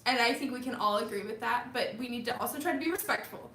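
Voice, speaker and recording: A woman's voice: a little high, background noise. A young woman in her twenties chatting as a guest on a live stream, giggly and full of fillers. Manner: giggly, fast, lots of likes. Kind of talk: casual chat. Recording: echoey room, mic far off.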